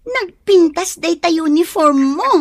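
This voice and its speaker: A woman's voice: very high-pitched, expressive, measured pace, no room sound, clean studio sound. An actress playing a bubbly, admiring young woman friend in a radio drama.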